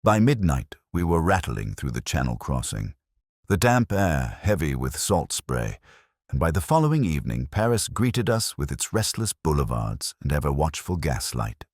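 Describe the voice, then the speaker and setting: Extremely deep-voiced male story narrator. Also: sing-song, normal speed, no echo, clean studio sound. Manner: formal, literary, solemn. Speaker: audiobook narrator. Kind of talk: scripted dramatic story narration.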